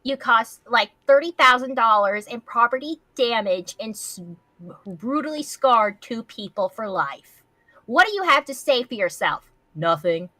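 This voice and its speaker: Woman doing a stern, forceful pony authority. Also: fairly high pitch, animated, a little slow, dry recording, voice pushed up. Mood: scolding. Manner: forceful, admonishing. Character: stern, scolding pony authority handing out punishment.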